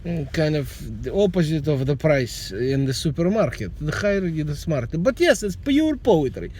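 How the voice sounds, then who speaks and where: A man's voice: a little high, big pitch swings, normal speed, darkish tone, slight background noise. A middle-aged man with a Russian accent, a vlogger joking dryly and rambling in a casual monologue while driving his car. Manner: dry joking, rambling with restarts.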